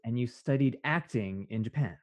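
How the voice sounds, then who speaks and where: A man's voice: mid-range voice, normal speed. A man in his thirties with a Canadian accent hosts a casual, conversational interview. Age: 30s. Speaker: host, interviewer. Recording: dull, lo-fi sound.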